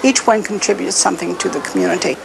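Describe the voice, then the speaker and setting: A woman in an interview speaking with warm enthusiasm about her community. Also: lowish voice, fast, creaky, background noise. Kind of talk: interview talk.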